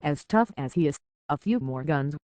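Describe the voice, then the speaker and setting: A man's voice: on the high side, expressive, moderate pace, a little mellow, no room sound, lightly acted. An actor playing a plain-spoken, folksy island barkeep in a video game, blunt and concerned for his own people. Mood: blunt, grudging. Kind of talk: video game character dialogue.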